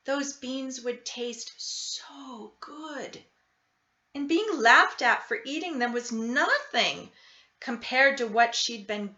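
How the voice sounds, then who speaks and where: A woman narrates a children's picture book in a bright voice with very animated pitch. She reads expressively and takes her time. The sound is a little dull and lo-fi. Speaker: storybook reader.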